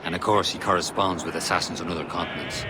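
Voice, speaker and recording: A man acting as a gruff but loyal Assassin in a video game. The lowish voice is dry and businesslike, set against clearly audible outdoor ambience. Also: quick pace, natural voice. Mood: dry teasing. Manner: dry, matter-of-fact. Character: steady, loyal male Assassin, gruffly teasing friend. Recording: noisy outdoor ambience behind.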